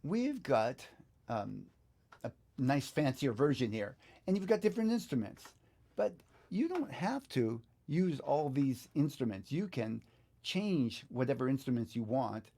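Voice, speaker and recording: A middle-aged or older man giving a casual hands-on software music lesson, thinking aloud in an easygoing, halting way as he works. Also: mid-range voice, very animated. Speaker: guitar teacher presenting a software tutorial. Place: small indoor home studio, seated at computer. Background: backing track music.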